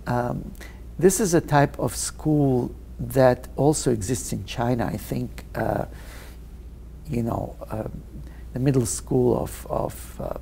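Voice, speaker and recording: A man answers questions in an interview, thinking aloud with frequent pauses. His voice is dark and mellow, with a gravelly edge, and he talks at an unhurried pace. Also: mid-range voice, a little hiss or hum. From Russia. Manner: slow, thoughtful, many hesitations. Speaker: guest pianist.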